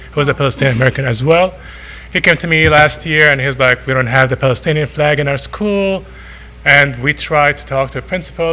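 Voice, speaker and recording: A man's voice: medium pitch, bright, forceful tone, low-quality recording. A middle-aged man born in Saudi Arabia, speaking informally as a father during public comment at a meeting. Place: school board meeting room.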